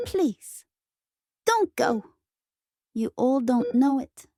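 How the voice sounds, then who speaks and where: A gentle warplane girl burdened by guilt, played by a woman, in a highish, very mellow voice. She speaks softly and solemnly, in audio drama style. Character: guilt-ridden, gentle female warplane with bloody past.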